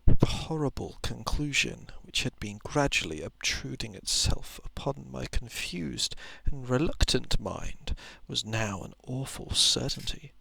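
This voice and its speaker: Hushed, crackly-voiced man reading slowly. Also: mid-range voice, expressive, creaky, no echo. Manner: soft-spoken, often dropping into whispers. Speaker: narrator. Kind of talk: ASMR story reading, hushed and literary. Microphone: close microphone.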